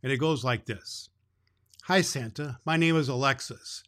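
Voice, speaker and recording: A man's voice: medium pitch, unhurried, fairly bright tone, close to an everyday voice. A man playing a kindly old Santa Claus who hosts a children's Christmas show, speaking cheerfully and emphatically in a folksy, fatherly way. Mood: cheerful, informing. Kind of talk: storytelling and chat addressed to children.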